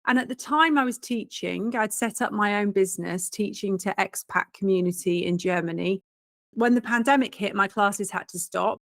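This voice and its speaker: A woman's voice: medium pitch, moderate pace, gravelly, fairly bright tone, no echo, studio quality. A British woman from the UK in her forties, a professional organizer, chatting as a guest in an interview with a self-deprecating, storytelling manner. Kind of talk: conversational interview.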